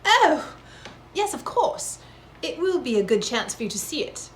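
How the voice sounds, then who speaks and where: A woman plays a polite, easily flustered gentlewoman. Her voice is high and swoops up and down with genteel courtesy. There is faint noise behind this dramatized reading. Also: very animated, measured pace. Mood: eager, pleased.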